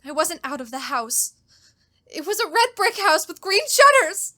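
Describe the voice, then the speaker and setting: A woman's voice: very high voice, very animated, measured pace, pushed and bright, exaggerated voice. An actress playing a terrified, genteel captive young woman in a suspense drama, with a faltering, melodramatic delivery. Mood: pleading. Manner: faltering, repeats words, melodramatic. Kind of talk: old-time radio style suspense drama, diary monologue.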